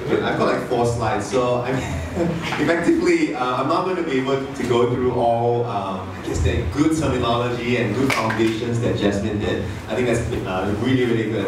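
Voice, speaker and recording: A man's voice: mid-range voice, moderate pace. A Malaysian man in his late twenties, founder, giving a chatty, self-deprecating conference talk full of fillers and restarts. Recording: echoey hall, mic far off, noisy.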